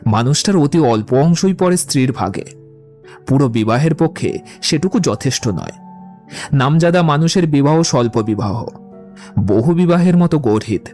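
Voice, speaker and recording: An actor playing a witty, poetry-loving young romantic suitor in an audio drama, with eloquent, theatrical delivery. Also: male voice, on the high side, lively intonation, moderate pace, a little mellow, soft background music, natural voice. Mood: teasing, witty. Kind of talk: Bengali audio drama acting.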